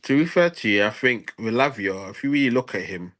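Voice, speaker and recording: A man's voice: mid-range voice, lively intonation, fairly quick, a bit bright. A man in a casual football transfer debate, speaking emphatically and repeating phrases for stress. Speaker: football fan show panelist.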